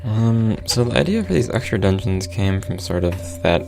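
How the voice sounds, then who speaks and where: A man developing games, giving soft-spoken, rambling live-stream commentary while he plays his own game, with frequent ums and muttered curses. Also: on the low side, moderate pace, gravelly, faint music behind. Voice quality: calm voice.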